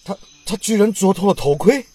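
A man's voice: high voice, brisk, dark tone, breathy edge, dry recording. A man playing a tense, alert scout soldier in a radio drama, speaking in urgent, clipped military phrases. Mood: shocked. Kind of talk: Chinese radio drama acting.

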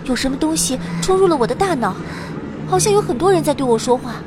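A woman playing a sensitive young heroine who narrates a fantasy audio drama, earnest and expressive. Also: high-pitched, fast talker, background noise. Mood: tense narration. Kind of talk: dramatic scripted first-person narration.

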